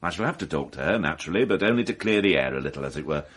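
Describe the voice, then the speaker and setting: An actor playing a polite, probing police inspector in a radio drama, with formal, measured questioning. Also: male voice, a bass voice, expressive, fairly bright tone, heavily acted.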